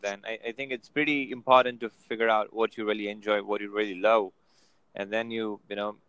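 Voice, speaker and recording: A man's voice: lowish voice, level tone, a little fast, a bit bright, smooth, dry recording, highs cut off. A man giving casual motivational advice on a video call, filling his talk with "you know" and "right?". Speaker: guest.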